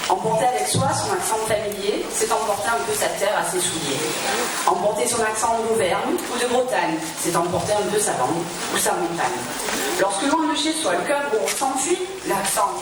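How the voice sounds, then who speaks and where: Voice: medium pitch, expressive, moderate pace. A speaker with a southern French accent from Provence reciting poetry in an emphatic, declamatory, theatrical way. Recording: very echoey noisy hall, distant mic.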